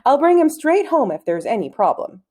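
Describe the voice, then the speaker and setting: A kindly, easygoing schoolmaster , acted out by a woman. He sounds reassuring, with a mellow tone and lively storybook intonation. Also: sits high, normal speed, voice pushed up.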